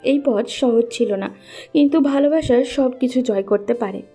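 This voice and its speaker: Woman, narrator, scripted love story, higher pitch, soft-edged tone, faint music behind. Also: moderate pace.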